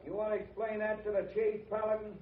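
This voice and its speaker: A man's voice: high voice, completely flat delivery, fast, lightly acted. A man with an American accent playing a hard, bullying ranch boss who barks confrontationally in a radio Western. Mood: menacing. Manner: barking, confrontational. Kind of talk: 1950s radio Western acting. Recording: tinny, noisy old radio recording.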